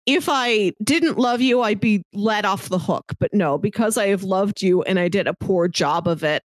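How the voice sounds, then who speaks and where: A woman's voice: medium pitch, normal speed, dry recording. A woman co-hosting a podcast, talking casually and conversationally about film. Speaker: podcast co-host. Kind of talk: casual film discussion chat.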